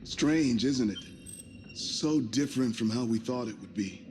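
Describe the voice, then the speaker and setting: A man playing a calm, self-assured space company tycoon in a sci-fi game, speaking in a reflective, unhurried way. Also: medium pitch, a little hiss or hum, natural voice. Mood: wistful, confident. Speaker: voice actor in a video game. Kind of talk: cinematic sci-fi game acting. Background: music.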